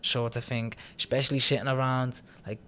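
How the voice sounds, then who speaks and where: A young man from Liverpool with a softened Scouse accent, chatting casually as a podcast guest and often laughing. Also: medium pitch, flat delivery, brisk, a little hiss or hum. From Liverpool, England. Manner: chatty, laughs often, frequent "do you know what I mean". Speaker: guest, trading company CEO.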